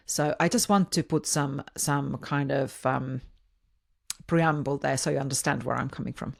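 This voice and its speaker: A woman's voice: deep voice, vocal fry, a little mellow. A woman with a Finnish accent hosting a podcast, speaking informally on her own and often rephrasing herself mid-sentence. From Finland. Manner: hesitant, rephrases and repeats words mid-sentence. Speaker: podcast host. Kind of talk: informal solo podcast monologue.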